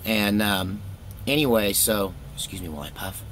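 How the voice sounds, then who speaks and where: A man's voice: lowish voice, normal speed, fairly bright tone, slight background noise. A middle-aged man telling personal stories in a casual, rambling, laid-back way with wry self-deprecation. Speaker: storytelling vlogger, former youth pastor.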